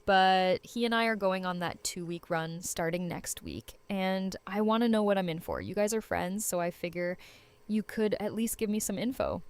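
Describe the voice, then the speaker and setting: A woman's voice: medium pitch, animated, normal speed, clean tone, lightly acted. A woman playing a sassy, casual survivor in her twenties in an audio drama, playful and blunt.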